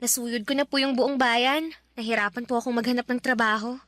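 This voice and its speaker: A woman's voice: a little high, steady tone, brisk, a bit bright, dry recording, close to an everyday voice. A woman playing a respectful, earnest young granddaughter in a radio drama, polite and a little hesitant, with a Filipino accent. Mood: weary. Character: respectful eighteen-year-old granddaughter, earnest, hopeful, slightly hesitant.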